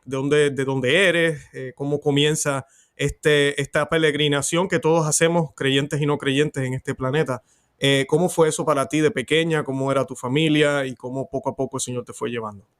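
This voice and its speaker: A man hosting a religious interview show, introducing and questioning his guest in an upbeat, affectionate way. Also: fairly high pitch. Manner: warm, affectionate and upbeat, with occasional fillers. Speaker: host.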